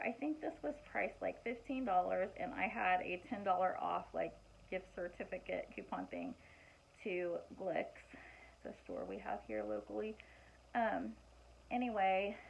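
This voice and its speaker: A woman in her forties with a hoarse, fading voice, casually chatting through gift ideas in a home vlog with lots of fillers and restarts. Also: mid-range voice, slight background noise. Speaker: vlogger showing gift ideas. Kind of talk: casual show-and-tell vlog. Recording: muffled lo-fi home recording.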